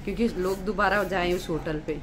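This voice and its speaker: A woman's voice: fairly low pitch, steady tone, a little fast, background noise. A woman chatting casually at a restaurant breakfast, playfully teasing her companion about how much he eats. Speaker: vlogger's companion. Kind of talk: casual chat.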